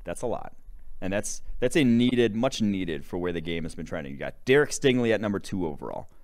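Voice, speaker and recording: A young man from Wisconsin co-hosting a sports podcast, rattling off football prospects in a casual, animated way. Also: sits low, brisk. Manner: animated, rattles off player lists. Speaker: podcast co-host, draft analyst. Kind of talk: casual sports analysis chat.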